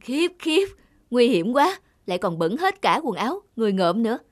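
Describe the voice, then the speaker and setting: A woman voicing an anxious, doting, overprotective mother in an animated moral tale, fussing and scolding. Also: fairly high pitch, normal speed, no echo, clean studio sound. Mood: scolding, worried. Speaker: cartoon voice actor. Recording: loud background music. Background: music.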